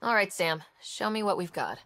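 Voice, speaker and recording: A young, wry video game explorer. She is direct and self-assured, mid-pitched, heard with reverb and faint music. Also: expressive, moderate pace, bright, forceful tone. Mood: stern. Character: confident, dry-witted young female space explorer. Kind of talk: video game character dialogue.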